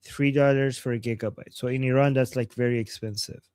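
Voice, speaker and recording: A man's voice: medium pitch, steady tone, brisk, a little mellow, smooth, no room sound, studio quality. A man hosting a live stream, chatting casually as he reads out and answers viewer messages, often repeating phrases for emphasis. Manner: switches between English and Persian, translating aloud.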